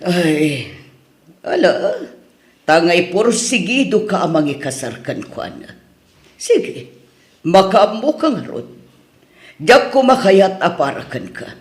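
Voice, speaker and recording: A woman's voice: on the low side, big pitch swings, slow, pauses often. A woman playing a protective, reluctant older mother in an Ilocano radio drama, with an Ilocano accent. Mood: reluctant, resigned. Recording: roomy echo.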